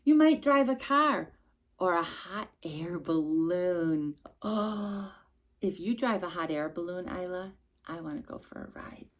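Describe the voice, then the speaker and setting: Slow, very animated woman reading a children's story. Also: on the low side, a little hiss or hum. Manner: animated, emphatic, with asides to a child listener. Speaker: children's storytime reader and host. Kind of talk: expressive read-aloud of a rhyming picture book to a young child.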